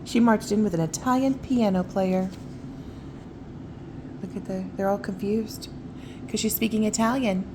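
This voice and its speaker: A woman's voice: medium pitch, steady tone, unhurried, long pauses, background noise. A woman reading a children's picture book at bedtime, adding folksy, cooing asides about the pictures. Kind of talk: bedtime read-aloud with casual asides.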